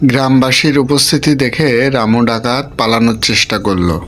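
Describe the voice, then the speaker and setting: A man's voice: mid-range voice, a bit bright. Man narrating a cartoon tale. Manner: measured, storybook telling. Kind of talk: scripted storytelling narration.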